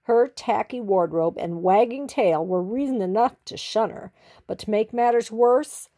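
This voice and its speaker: A woman reading a children's story expressively, with theatrical, drawn-out emphasis on key words. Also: a little high, warm tone.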